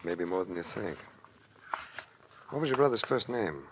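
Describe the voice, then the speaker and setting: An actor playing a cool, wry adventurer investigator in old-time radio drama, dry and unflappable. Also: male voice, sits low, lively intonation, moderate pace, noisy background. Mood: probing.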